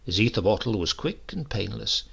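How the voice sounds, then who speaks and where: A man with a husky voice reading a literary story in a measured, wry way. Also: on the low side, expressive, fairly bright tone. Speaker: story narrator. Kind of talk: scripted literary narration.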